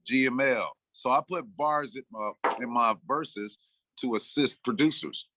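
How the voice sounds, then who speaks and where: A man in his sixties, an interview guest, telling stories in a loose, animated way and acting out his own lines. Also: mid-range voice, measured pace, background noise. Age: 60s. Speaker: interview guest, rapper and producer. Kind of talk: casual interview talk.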